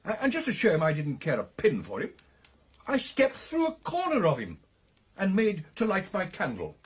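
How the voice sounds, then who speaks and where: British club gentleman, radio drama storyteller, theatrical, very husky, wildly varied intonation, excitable. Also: high-pitched, normal speed, full character voice. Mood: animated, amused. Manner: theatrical, hesitating and emphatic. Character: excitable, amused club gentleman telling his ghost encounter.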